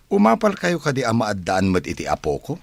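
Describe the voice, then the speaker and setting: A man's voice: on the low side, wildly swooping pitch, vocal fry, dry recording, full character voice. A man with a Filipino accent playing a mild, shy bachelor son, hesitant and earnest, in an audio drama. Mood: resigned. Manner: hesitant, halting. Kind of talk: amateur audio drama acting.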